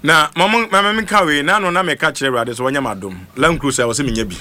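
Excitable, fast-talking Ghanaian man, high voice. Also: crowd noise. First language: Twi. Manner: excitable, repeats phrases for emphasis. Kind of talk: animated casual storytelling.

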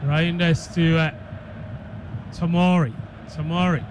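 A man's voice: a little high, steady tone, slow. A man giving live football commentary on the radio. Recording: clear background noise, stadium ambience.

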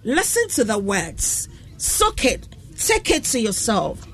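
A woman's voice: on the high side, slow. A woman with a Ghanaian accent hosting a radio show, chatting animatedly in a studio and mixing English with Twi. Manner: animated, mixes English and Twi, laughs often. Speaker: radio host. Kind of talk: lively radio studio banter.

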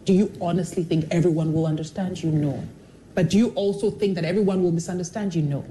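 A woman's voice: on the low side, quick pace, slight background noise, low-quality recording. A woman who is a television personality, talking candidly in an interview and acting out the voices of people in her stories. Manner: expressive, animated storyteller who acts out quoted voices. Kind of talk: candid motivational interview talk.

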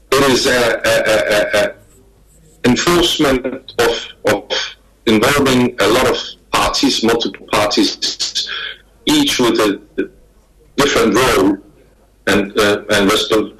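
A man from Denmark, speaking as a guest on a panel over a video call, halting and repeating words as he talks. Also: on the low side, a bit bright, low-quality recording. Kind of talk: remote panel talk.

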